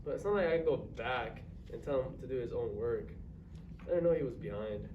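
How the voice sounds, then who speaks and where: A man's voice: medium pitch, animated, fairly quick, phone-quality sound, natural voice. A male drama student playing a bitter, closed-off, self-centred man in a staged reading. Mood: defensive. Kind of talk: staged reader's theatre performance. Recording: distant echoey noisy live stage recording.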